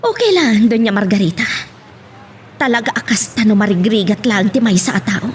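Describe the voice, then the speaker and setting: A woman's voice: mid-range voice, animated, moderate pace, lightly acted. An actress playing a humble, forgiving working mother who speaks respectfully in a serial drama. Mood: confessing, resigned. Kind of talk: radio-style serial drama acting. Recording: faint background noise.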